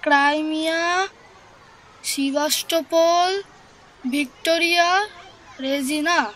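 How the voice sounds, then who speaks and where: A woman playing a young schoolboy who reads haltingly, word by word, labouring over foreign words in an audio drama. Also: very high-pitched, fairly even intonation, a bit bright, a little hiss or hum, exaggerated voice, low-quality recording. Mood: labouring, careful.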